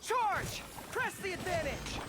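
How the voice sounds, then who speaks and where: Young game warrior, rowdy, high-pitched, animated battle cries, loud music behind. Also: slow, exaggerated voice. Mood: fired up. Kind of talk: video game battle barks. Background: loud game music and battle effects.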